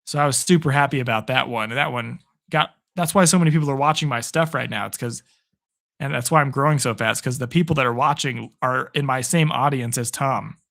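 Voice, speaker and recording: A man hoarse from hours of talking, giving live play-by-play and chatting upbeat with viewers on his stream. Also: medium pitch, a little fast, a bit bright. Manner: chatty, upbeat, reads viewer comments aloud. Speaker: sports streamer. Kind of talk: live play-by-play and chat reactions.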